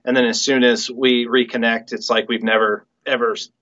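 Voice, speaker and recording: A man's voice: medium pitch, little pitch movement, a little fast, a bit bright, highs cut off. A man chatting casually and reminiscing as an interview guest. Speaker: guest, audio play writer and director.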